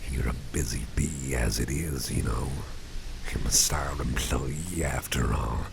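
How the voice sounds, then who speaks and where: A man performing a gentle ASMR roleplay as a caring boss, half-whispering in a soothing, folksy, unhurried way with a breathy voice. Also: background noise. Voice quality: breathy, very low gravelly voice with soft whispering. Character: caring, doting male boss soothing a sleepy employee.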